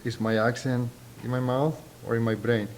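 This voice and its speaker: A man's voice: fairly even intonation, moderate pace, darkish tone. Man with thick Puerto Rican accent, noisy recording. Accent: Puerto Rican Spanish. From Puerto Rico. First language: Spanish. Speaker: university professor being interviewed. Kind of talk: reflective interview answers. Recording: noisy, low rumble behind.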